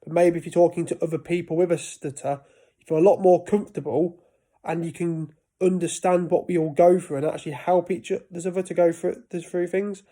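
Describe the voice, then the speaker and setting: A man's voice: on the high side, steady tone, a little fast, dark tone. A man in his thirties talking casually to camera about life with a stutter, often breaking off and restarting words. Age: 30s. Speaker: vlogger.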